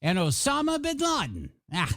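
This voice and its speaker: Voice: medium pitch, wildly swooping pitch, normal speed, a bit bright, dry recording, studio quality. A radio DJ with upbeat patter and playful, chatty asides between songs. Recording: music under the voice.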